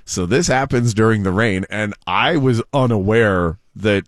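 A man's voice: fairly low pitch, a little slow, no echo, clean studio sound. A man co-hosting a radio show, chatting casually through news stories and laughing often. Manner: chatty, laughs often, reads quotes aloud.

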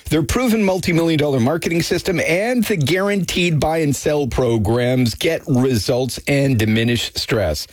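A man's voice: moderate pace, few pauses, dry recording. Upbeat male radio ad voice. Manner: upbeat sales pitch. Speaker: ad announcer. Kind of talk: read radio advertisement.

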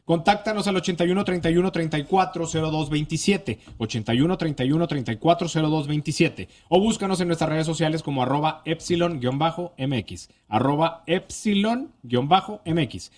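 A male host reading a promotional radio advertisement in a brisk voice. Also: on the high side, darkish tone.

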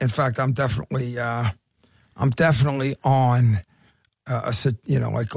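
Philly guy cohosting radio, gravelly husky voice, lots of ums and restarts. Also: mid-range voice, dry recording, clean studio sound. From Irish heritage. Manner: halting, many fillers and restarts. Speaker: radio co-host. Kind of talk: casual radio chat.

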